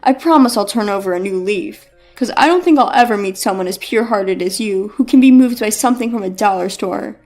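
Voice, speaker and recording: A woman's voice: mid-range voice, brisk, hardly any pauses, darkish tone, soft background music, pitched below the performer's own voice, lightly acted. A woman voicing a pleading, money-minded ex-boyfriend in a wheedling, begging manner within a narrated story.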